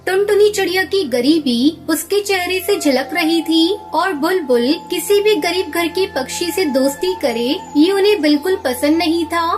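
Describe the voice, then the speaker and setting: A woman's voice: extremely high pitch, expressive, normal speed, few pauses. A woman narrating a scripted children's cartoon story. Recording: music under the voice.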